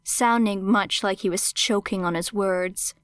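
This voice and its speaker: A woman reading scripted dramatic fiction as an audiobook narrator, measured and expressive. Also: medium pitch, clean tone, no room sound.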